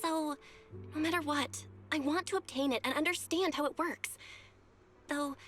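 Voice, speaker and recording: An earnest young woman proxy, determined but anxious. She speaks in a high voice. Faint game music runs underneath. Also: moderate pace, fairly bright tone.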